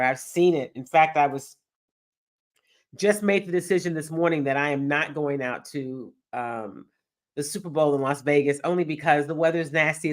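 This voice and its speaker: A middle-aged woman from Texas hosting a live sports talk show, her voice nasal from a cold, upbeat and chatting directly with viewers. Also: sits low. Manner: upbeat, addresses viewers directly, reads chat aloud. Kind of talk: live-streamed casual show chat.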